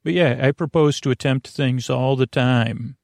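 A man with a creaky voice hosting a bedtime sleep podcast, meandering and halting in a soothing, lulling monologue that trails off into tangents. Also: medium pitch, a little mellow, no room sound, studio quality. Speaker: podcast host.

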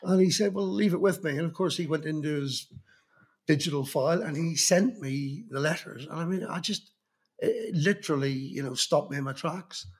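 Husky Belfast man telling old stories. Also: a little high, moderate pace. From Northern Ireland. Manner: rambling anecdotal storytelling with many restarts. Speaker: guest, magazine journalist. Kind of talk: reflective interview talk.

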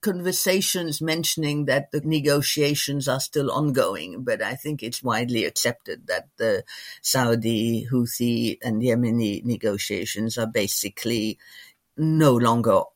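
A man's voice: medium pitch, measured pace. Man thinking aloud through political analysis. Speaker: interviewed regional expert.

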